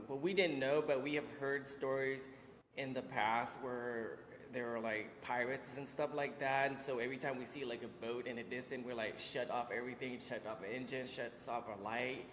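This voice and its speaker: A man in his forties from Vietnam, telling his life story as an interview guest, halting with many fillers and restarts. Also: mid-range voice, fairly bright tone, a little hiss or hum. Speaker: interview guest, business owner. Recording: echoey room with long reverb.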